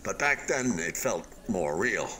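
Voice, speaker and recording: An actor in a radio play voicing an abandoned, unfinished hotel building, wistful and defensive, chatting in hesitant, earnest philosophical musings. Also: male voice, low-pitched, big pitch swings, a little slow, sharp tone, slight background noise, full character voice. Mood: animated, wistful. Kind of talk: radio play acting, conversational monologue.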